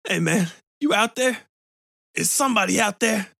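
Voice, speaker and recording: A man voicing a bitter, weepy man with a smoker's rasp who pleads and breaks into tears. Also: sits high, animated, moderate pace, sharp tone, no echo, studio quality, voice pushed up, heavily acted. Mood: pleading.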